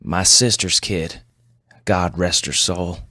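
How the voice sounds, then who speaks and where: A man's voice: deep voice, takes it slowly, pushed and bright, dry recording, clean studio sound, exaggerated voice. A man reading a story, voicing a weary, gaunt, plain-spoken aunt who is guardian to a boy. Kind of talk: audio fiction story reading.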